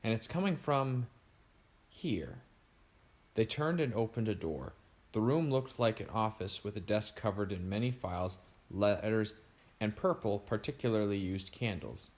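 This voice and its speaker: A man narrating a storybook aloud in a steady reading voice. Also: on the low side, moderate pace.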